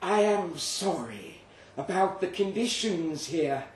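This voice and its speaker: A man voicing a formal, apologetic monstrous creature in a slow, deliberate way, in a live story reading. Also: sits high, lively intonation, far from the mic, pitched above the performer's own voice. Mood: eerily polite. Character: courteous, apologetic monstrous creature, captor of humans. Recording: roomy live venue recording, faint noise.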